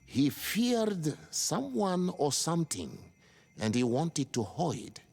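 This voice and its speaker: A grave village chief in a game, raspy husky voice, pitch moves around a lot. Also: male voice, wildly swooping pitch, takes it slowly, darkish tone, full character voice. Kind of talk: video game cutscene acting.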